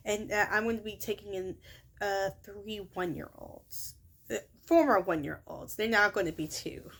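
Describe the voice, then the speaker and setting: A young woman with a high, shrill voice chats casually about her workday. She rambles, leans on fillers like "you know" and "uh", and keeps correcting herself mid-sentence. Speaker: childcare worker. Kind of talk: casual vlog recap of a workday.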